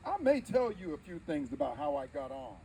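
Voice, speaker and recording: Actor as a dignified, high-pitched old-time preacher orator. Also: male voice, big pitch swings, mellow, slight background noise, low-quality recording. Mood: earnest, wry. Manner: emphatic, deliberate storytelling with dramatic pauses. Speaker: live history interpreter. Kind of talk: theatrical first-person oratory before an audience.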